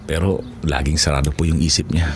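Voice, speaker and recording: A man's voice: very deep voice, little pitch movement, brisk, slight background noise. A man playing an earnest, respectful Filipino father, pleading politely and a little hesitantly in a television drama.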